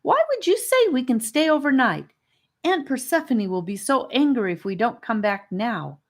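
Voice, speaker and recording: A woman's voice: fairly high pitch, sing-song, moderate pace, voice pushed up. A woman voicing a sensible, cautious, principled young girl in a children's audiobook. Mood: cross, worried.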